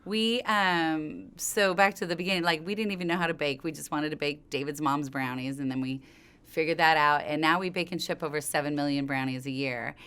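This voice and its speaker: Low-pitched, clear-voiced woman chatting informally. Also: fairly even intonation, fairly quick, a bit bright. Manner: informal, rambling, circles back mid-thought. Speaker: company co-founder being interviewed. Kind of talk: conversational interview storytelling.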